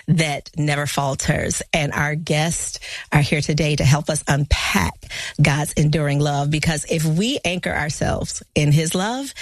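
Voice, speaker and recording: A woman's voice: low-pitched, no room sound. A woman hosting a faith radio show, interviewing guests in an affirming way. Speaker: guest host.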